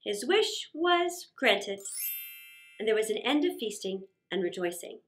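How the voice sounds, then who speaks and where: A middle-aged woman reading a story aloud for children, expressive, with theatrical pauses and emphasis. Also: medium pitch, faint music behind. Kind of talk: dramatic read-aloud story narration for children. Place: small indoor set.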